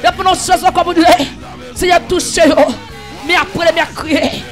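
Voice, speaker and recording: A man leading an impassioned prayer, pleading and repeating phrases, half singing and at times shouting. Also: extremely high pitch, level tone, normal speed, soft background music. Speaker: prayer leader. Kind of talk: fervent chanted prayer.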